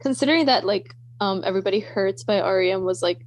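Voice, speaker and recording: A woman's voice: mid-range voice, fast. A woman chatting casually as a book podcast co-host, bubbly and gushing with a giggly way of talking.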